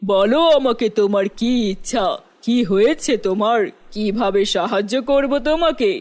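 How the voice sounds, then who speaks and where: Magical talking well, fairy-tale cartoon, male actor; high register, benevolent, coaxing delivery. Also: normal speed, darkish tone, close to an everyday voice. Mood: caring.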